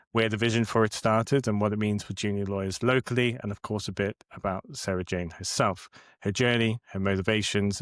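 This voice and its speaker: Lower-voiced male podcast host. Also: no echo, studio quality. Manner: interviewer, restarts and ums. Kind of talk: podcast interview.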